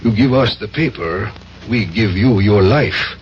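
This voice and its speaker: An actor playing a cold, suave Soviet intelligence major in a radio drama, formal and menacing, on a dull, noisy old broadcast. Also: male voice, lowish voice, a little slow, close to an everyday voice. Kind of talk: 1970s radio drama acting.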